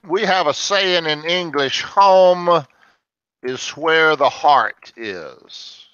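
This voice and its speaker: An older man from Alabama leads an English conversation group, explaining with slow, patient examples. His voice is bright and crisp. The recording is dry and a little dull. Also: a little high, lively intonation, no room sound. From Alabama, United States. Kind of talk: explaining to learners in a discussion group.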